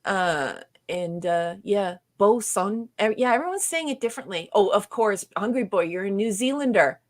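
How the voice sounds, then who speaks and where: A woman's voice: mid-range voice, expressive, moderate pace, no echo, studio quality. A woman from Canada with a Canadian accent, co-hosting a casual livestream chat, reading out viewer comments and laughing often. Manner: chatty, laughs often, reads chat aloud. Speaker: co-host.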